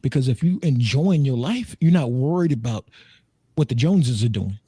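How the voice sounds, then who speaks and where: A man's voice: mid-range voice, expressive, normal speed, warm tone, husky, dry recording, studio quality. An American man in his thirties chatting casually as a co-host, joking, repeating himself and swearing freely. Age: 30s. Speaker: podcast co-host and streamer. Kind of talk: casual group chat.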